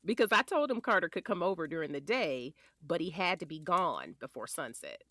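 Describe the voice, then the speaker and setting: A woman's voice: lowish voice, very animated, a little fast, fairly bright tone, dry recording, studio quality. A woman playing an affectionate, sassy wife and mother in an audio drama, with playful delivery. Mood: playful, fond.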